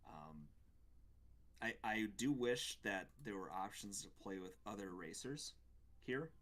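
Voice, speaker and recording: Deep voiced guy on a gaming show, chatting through what he thinks of games. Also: animated, measured pace, fairly bright tone. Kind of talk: casual game review chat.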